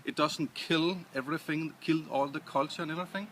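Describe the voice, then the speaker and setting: A man from Denmark with a Danish accent, answering interview questions in English with many pauses and restarts. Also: on the high side, steady tone, a bit bright, slight background noise. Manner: hesitant, many restarts and fillers. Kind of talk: casual interview.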